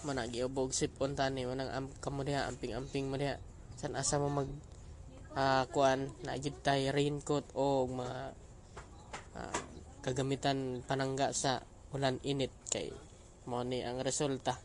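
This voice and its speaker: A man hosts in a casual, chatty style, rambling and repeating himself. His pitch is average, and there is faint noise in the recording. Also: little pitch movement, unhurried. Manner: rambling, repetitive. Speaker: host, content creator. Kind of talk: casual vlog-style chat.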